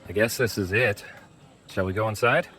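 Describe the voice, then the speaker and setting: A man's voice: fairly low pitch, normal speed, fairly bright tone, natural voice. A man playing an earnest, mild traveler in an audio drama, speaking politely and a little hesitantly. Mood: resigned. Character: earnest, mild man stranded in big robot body.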